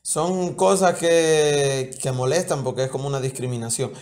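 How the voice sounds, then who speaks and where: A man's voice: medium pitch, steady tone, normal speed, darkish tone. A young man co-hosting a casual reaction video indoors, chatty and plugging the channel. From Cuba. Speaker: co-host. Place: indoor room with red curtain.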